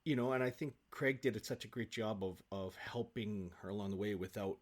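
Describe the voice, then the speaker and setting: A man speaking as an actor guest in a casual podcast conversation, effusive and animated in his storytelling. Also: on the low side, brisk.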